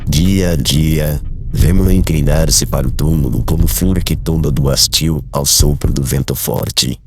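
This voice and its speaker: A man playing a kindly, grave older man in an audiobook drama, speaking in a measured, formal way. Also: a bass voice, slight background noise. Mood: sorrowful. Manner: solemn, measured, formal literary phrasing.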